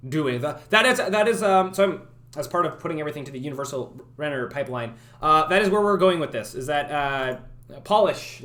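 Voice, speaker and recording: A young man streaming his game development, chatting casually and thinking out loud as he works, often correcting himself. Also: fairly high pitch. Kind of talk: casual live-stream chat while working.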